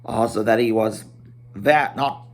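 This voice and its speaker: A man narrating a fantasy tale as a dramatic amateur reading, halting now and then to repeat or correct a phrase. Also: on the low side. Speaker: amateur storybook reader.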